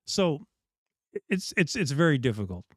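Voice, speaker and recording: A man's voice: on the high side, sing-song, moderate pace, no echo, clean studio sound. A middle-aged man from the Bahamas hosting a casual live chat, talking in a rambling, candid way with restarts. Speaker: dive shop host.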